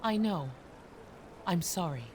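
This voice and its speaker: An actress playing a kindly, motherly landlady in an audio drama, gentle and encouraging. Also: on the low side, animated, takes it slowly, slight background noise. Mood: gentle, reassuring. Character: Mrs. Hudson, kindly, motherly, reassuring landlady.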